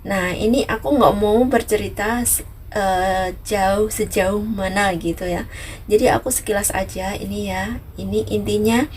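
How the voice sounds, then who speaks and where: A woman's voice: medium pitch, steady tone, a little slow. A woman from East Java chats casually to viewers in a repetitive way, often adding small tags that seek agreement. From Ngawi, East Java, Indonesia. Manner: repetitive, frequent softening tags seeking agreement. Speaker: vlogger, migrant worker. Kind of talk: casual vlog chat to viewers. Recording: distant mic, noisy ambient background.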